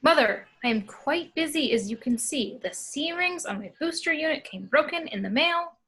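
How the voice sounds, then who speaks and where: High-voiced woman as a nerdy teenage boy. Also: animated, normal speed, fairly bright tone. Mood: fussy, busy. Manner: formal, fussy phrasing. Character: earnest, nerdy fourteen-year-old boy, devoted sci-fi fan. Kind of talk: script table read.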